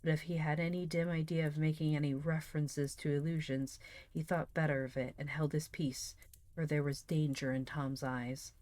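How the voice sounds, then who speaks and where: Low-voiced, expressive woman reading aloud. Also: normal speed, dry recording. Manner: expressive, enthusiastic. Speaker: streamer reading books aloud; teacher. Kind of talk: expressive audiobook-style reading with casual livestream chat.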